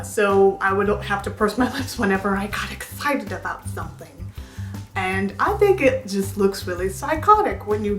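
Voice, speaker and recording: Candid young woman vlogging in echoey room. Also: medium pitch. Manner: candid, rambling, many fillers like "like" and "um". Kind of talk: casual chat to camera.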